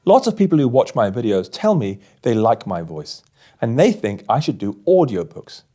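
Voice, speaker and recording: A man narrating a scripted story as an audiobook host, with measured, deliberate phrasing. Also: medium pitch, very animated, mellow.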